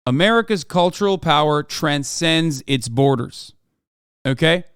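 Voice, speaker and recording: A man's voice: a little high, expressive, unhurried, a bit bright, clear, resonant tone, no echo. Man, streamer, heated profane commentary, lecturing, dry close sound. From Turkey. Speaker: streamer reacting to videos.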